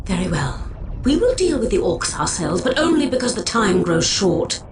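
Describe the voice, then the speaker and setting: An imperious alien seer played by a woman in a war game, middle in pitch. She speaks with cold, formal impatience over faint ambient noise. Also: big pitch swings, normal speed, natural voice. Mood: disdainful. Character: haughty, impatient female alien seer leader. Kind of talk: scripted game cutscene acting.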